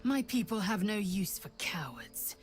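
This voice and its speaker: A woman's voice: medium pitch, very animated, measured pace, soft background music. An actress playing a proud, fierce and disdainful warrior woman of an alien race in a fantasy video game, speaking in a haughty, formal, severe manner. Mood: cold contempt.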